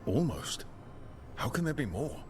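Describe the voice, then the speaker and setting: A man's voice: mid-range voice, very animated, measured pace, music in the background. A man playing an earnest, plain-spoken adventurer who leads a band in a fantasy game. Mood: questioning. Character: earnest, questioning male adventurer, leader of a band.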